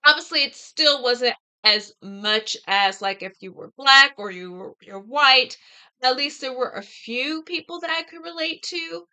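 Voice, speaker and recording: An American woman with a fairly high, bright voice and a smooth, clear tone. She talks casually and reflectively, choosing her words in a halting, deliberate way. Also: animated. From United States. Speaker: vlogger discussing a book. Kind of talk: casual reflective talk to camera.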